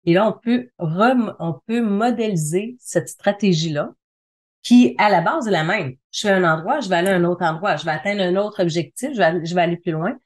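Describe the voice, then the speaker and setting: A woman's voice: lowish voice, normal speed. A middle-aged woman with a Quebec French accent speaking as an interview guest, explaining things conversationally and repeating phrases for emphasis. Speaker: interview guest, communication consultant and trainer.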